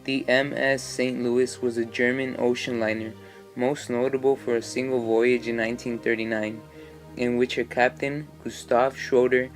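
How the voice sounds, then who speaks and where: A man narrates from a documentary script. His voice is middling in pitch and stays very even, with little melody. Faint music plays behind him.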